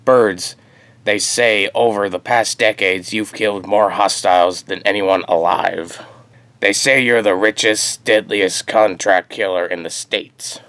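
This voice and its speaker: A man's voice: fairly low pitch, steady tone, a little slow, fairly bright tone, dry recording. An actor playing a scheming, deferential crime lord in a radio drama, formal and placating in the way he talks. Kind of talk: comic-book radio drama acting.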